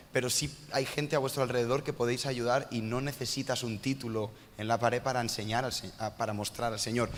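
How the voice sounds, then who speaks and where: A man interviewing a guest in front of a church congregation, with a chatty, joking manner. His voice sits at a middling pitch. A long reverb from the large hall trails after his words. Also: slight background noise. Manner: jokey, chatty, self-mocking asides. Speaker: church host interviewing a missionary. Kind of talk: casual church interview on stage.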